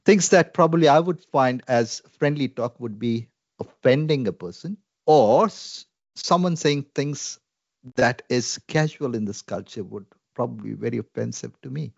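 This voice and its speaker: A man from India speaks with an Indian English accent, earnest and reflective, often pausing on fillers. His voice sits at an ordinary pitch. The recording is dry and slightly dull. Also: creaky, dry recording. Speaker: Salvation Army minister. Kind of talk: conversational interview.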